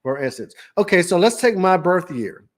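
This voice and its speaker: A man, co-hosting a podcast in a relaxed, joking way and telling stories at length. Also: high voice. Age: 50s. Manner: easygoing, joking, drawn-out storytelling. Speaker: podcast co-host. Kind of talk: casual chat.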